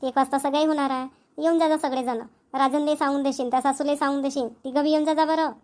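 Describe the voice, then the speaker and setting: A woman voicing a busy village mother in an amateur cartoon comedy, speaking homely, repetitive Vidarbha Marathi in a hurried manner. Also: high-pitched, fairly even intonation, darkish tone, lightly acted. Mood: brisk, insistent. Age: middle-aged. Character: busy village mother rushing to invite neighbours to engagement.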